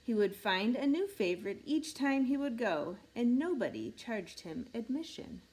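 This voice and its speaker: A woman reading a rhyming picture book aloud in an expressive, measured storytelling way meant for children. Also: on the high side, a little hiss or hum, poor audio. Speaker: storybook reader.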